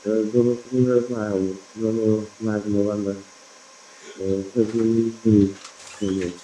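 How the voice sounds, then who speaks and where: A man working as a ham radio operator, speaking haltingly and hesitantly over the air. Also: on the low side, flat delivery, dark tone, a little hiss or hum, rough recording. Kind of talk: amateur radio contact.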